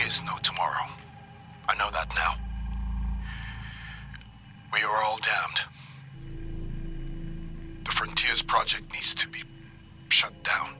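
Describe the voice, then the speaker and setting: An actor playing a weary, grim crew officer recording a log in a video game, in a halting, husky voice. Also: male voice, fairly low pitch, natural voice. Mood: grave, despairing.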